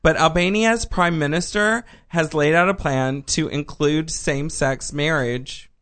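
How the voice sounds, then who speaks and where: A man's voice: a little high, unhurried, fairly bright tone. A man from Texas co-hosting a casual news chat, rambling with many ums and restarts. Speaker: podcast co-host.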